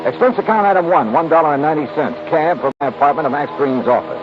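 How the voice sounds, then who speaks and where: An actor playing a wry, skeptical American insurance investigator in a 1950s radio drama, with crisp narration and dry banter. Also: male voice, on the high side, expressive, quick pace, loud music behind the voice, close to an everyday voice. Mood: businesslike. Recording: dull, noisy 1950s radio recording.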